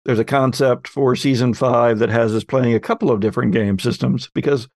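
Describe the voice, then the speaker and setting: A man's voice: mid-range voice, fairly even intonation, fairly quick, vocal fry, a little mellow, no echo, clean studio sound. A man hosting a casual panel discussion on a podcast. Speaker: podcast host.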